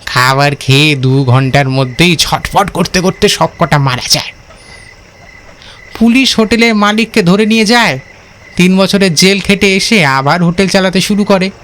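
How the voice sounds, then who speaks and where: Man playing a higher-pitched, knowing village van driver. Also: normal speed, fairly bright tone, slight background noise. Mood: knowing, explanatory. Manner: colloquial, admonishing, explanatory. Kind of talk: Bengali horror cartoon voice acting.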